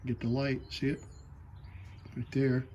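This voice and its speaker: Man, unhurried, mid-pitched, talking through a repair, faint workshop sounds behind. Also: flat delivery, dark tone, highs cut off, slight background noise. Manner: unhurried, talks to himself while working. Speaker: host. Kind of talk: hands-on repair demonstration. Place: workshop with a lathe.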